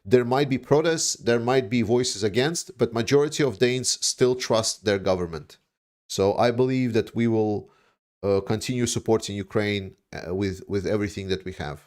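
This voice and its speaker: A man from Denmark hosting a livestream, answering viewer questions in casual, blunt commentary, haltingly and repeating words for emphasis. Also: lowish voice, a little mellow. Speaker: livestream host.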